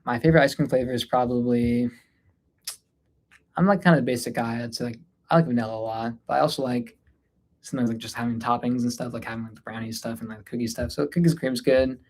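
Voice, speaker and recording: A man's voice: medium pitch, steady tone, fast. Tired, casual young-sounding male livestreamer. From North India, Delhi area. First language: Hindi, mixed with English. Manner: informal, hesitant, trailing off. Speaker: livestream host answering viewer questions. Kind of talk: casual unscripted Q&A livestream chat.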